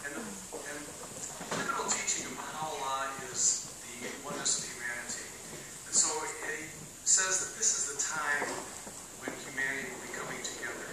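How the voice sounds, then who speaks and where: Soft-spoken man in an echoey hall. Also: mid-range voice. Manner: hesitant, with many fillers and restarts. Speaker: panelist. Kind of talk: panel talk at a public meeting. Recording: echoey hall from far off, noisy.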